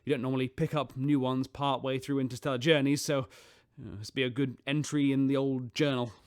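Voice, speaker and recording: An actor playing a nervous, evasive starship captain who stammers and hedges in an audio drama. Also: male voice, mid-range voice, fairly even intonation, fairly quick, darkish tone, no room sound, studio quality, natural voice. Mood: awkward. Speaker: ship captain.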